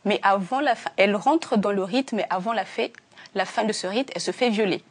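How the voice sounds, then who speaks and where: A woman from Africa, an author being interviewed, answering earnestly with many pauses and restarts. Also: medium pitch. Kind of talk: hesitant conversational interview answers.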